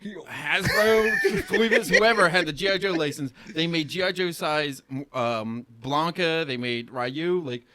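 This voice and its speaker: A man's voice: fairly high pitch, a little slow, hardly any pauses, bright, forceful tone. A man co-hosting a casual pop-culture podcast with opinionated, enthusiastic fan talk. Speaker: podcast co-host.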